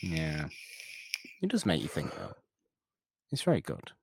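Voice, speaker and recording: A man's voice: extremely low pitch, a little slow, gravelly, breathy edge, no room sound. A man co-hosting a podcast, chatting casually and ramblingly about an old film, often breaking off mid-sentence. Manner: rambling, animated, self-interrupting. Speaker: podcast co-host.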